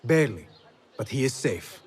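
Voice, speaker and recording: Young male assassin, played by an actor, game cinematic. Mid-pitched, earnest, measured, formal. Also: sing-song, close to an everyday voice. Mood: resolute, guarded. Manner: measured, serious, formal phrasing. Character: Basim, earnest young male hidden assassin in medieval Baghdad. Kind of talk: video game cutscene acting.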